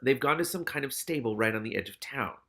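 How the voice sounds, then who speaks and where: A man's voice: mid-range voice, animated, fast, sharp tone. A man voicing an earnest, deferential male rat underling in a storybook reading. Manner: deferential, eager.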